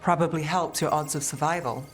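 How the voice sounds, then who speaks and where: An actress voicing a cool, worldly, deadpan city detective in a video game, with clipped phrasing. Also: female voice, low voice, brisk, soft background music, natural voice. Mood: dry, deadpan.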